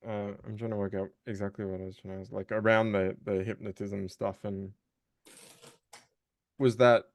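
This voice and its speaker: Creaky low-voiced man, tentative talker. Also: level tone, moderate pace, no echo. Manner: tentative, hedging, searching for words. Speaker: voice actor, interview guest. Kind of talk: casual conversational interview.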